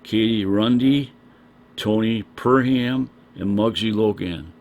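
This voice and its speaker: An older man with a scratchy voice, talking casually to his vlog audience with many pauses and "uh"s. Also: on the low side, darkish tone, muffled recording. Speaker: vlogger. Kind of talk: casual vlog talk to camera.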